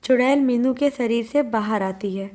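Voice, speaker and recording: A woman's voice: a little high, normal speed, warm tone, soft background music. A woman narrating a scripted children's horror story as its storyteller.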